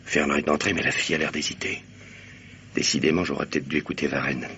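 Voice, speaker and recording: An actor playing a curt, authoritative, impatient police commissioner in a radio mystery play. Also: male voice, a bass voice, flat delivery, quick pace, creaky, bright, forceful tone, full character voice. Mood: calm, watchful. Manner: curt, commanding. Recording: dull, noisy old radio drama recording.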